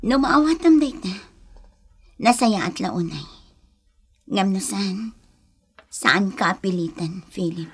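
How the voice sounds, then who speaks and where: A woman's voice: medium pitch, lively intonation, slow, pauses often, breathy edge, exaggerated voice. An actress with an Ilocano accent playing a troubled, bitter young unwed mother in a melodramatic radio drama style. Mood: subdued, wary. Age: young adult. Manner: melodramatic, aggrieved.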